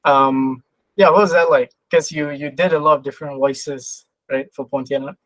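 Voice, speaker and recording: A woman's voice: low-pitched, fairly even intonation, moderate pace, dry recording, low-quality recording. A woman hosting a podcast interview, asking questions in a casual, conversational style. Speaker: podcast host and writer.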